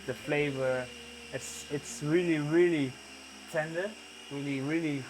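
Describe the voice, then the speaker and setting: A man's voice: fairly high pitch, fairly even intonation, measured pace, noisy background. A man who is a guest chef, chatting casually while cooking in a kitchen, often restarting and repeating words as he talks enthusiastically about food.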